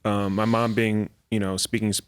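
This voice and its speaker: A man's voice: fairly low pitch, flat delivery, moderate pace, smooth. A man who is a guest comedian, chatting casually on a podcast and telling loose, rambling stories full of fillers.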